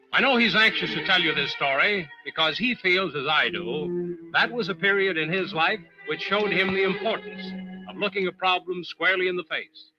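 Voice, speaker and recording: An actor playing a genial seafaring adventurer introducing radio tales with an American accent, in old-time host style. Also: male voice, on the high side, lightly acted. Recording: muffled old radio broadcast with faint music.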